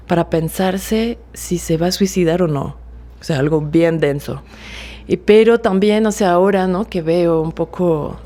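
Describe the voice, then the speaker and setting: A French woman in her forties, speaking Spanish as a second language, recalls her life as a writer in a halting, reflective way with frequent restarts. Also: fairly low pitch. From France. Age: 40s. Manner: soft-spoken, halting with fillers and restarts. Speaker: author interviewee. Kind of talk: reflective personal testimony.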